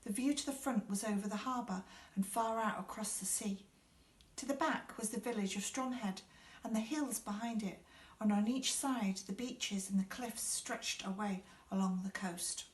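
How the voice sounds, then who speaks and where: Female children's book reader, medium pitch, recorded in a noticeably roomy, echoing space. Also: moderate pace. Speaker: audiobook reader. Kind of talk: children's story narration. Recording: echoey room.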